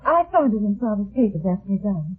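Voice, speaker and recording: A woman's voice: medium pitch, sing-song, quick pace, breathy edge. An actress playing a frightened, fragile, haunted young woman in a vintage radio melodrama, speaking hesitantly and fervently with a tremor. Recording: muffled lo-fi old radio recording.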